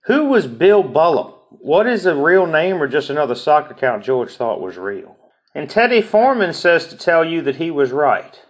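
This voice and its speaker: A man's voice: on the high side, big pitch swings, normal speed. A man with a mocking manner gives an informal dramatic reading of online comments.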